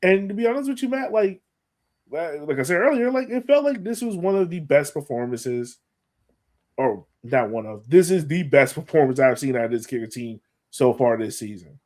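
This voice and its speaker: An American man talking as a podcast host in casual soccer chat, like an animated fan full of exclamations. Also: a little high, moderate pace.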